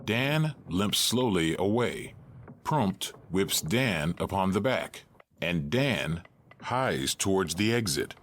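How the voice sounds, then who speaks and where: A man with a very low voice narrates stage directions from a script. His delivery is slow with lively swings in pitch, and there is faint noise in the background.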